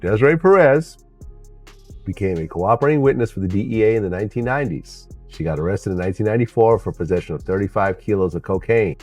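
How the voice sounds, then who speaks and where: A man's voice: on the low side, animated, fast talker, a little mellow. A man speaking in a played clip, recorded from a distance. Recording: distant mic, music underneath.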